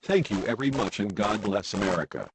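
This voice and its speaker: A man's voice: fairly low pitch, lively intonation, a little fast, low-quality recording. A man playing a grave, embattled American president giving a formal, declamatory emergency address. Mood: resolute. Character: grave, embattled male President of the United States.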